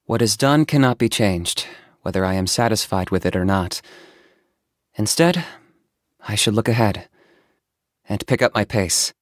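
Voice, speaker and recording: An actor playing an aloof, pragmatic young hunter in a video game, speaking in a calm, reserved, matter-of-fact and dryly sardonic way. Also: male voice, lowish voice, unhurried, pauses often, no room sound, clean studio sound. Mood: calm, considerate. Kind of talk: video game character voice acting.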